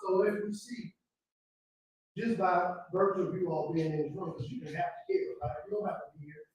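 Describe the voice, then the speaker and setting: Male advocate, 50s, high voice, rhetorical and urging, captured off-mic in a reverberant room. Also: a little fast, a little mellow, lo-fi sound. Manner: rhetorical, exhorting. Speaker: panel speaker, community advocate. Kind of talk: impassioned unscripted public remarks. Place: room at a live community event. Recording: echoey room, distant mic.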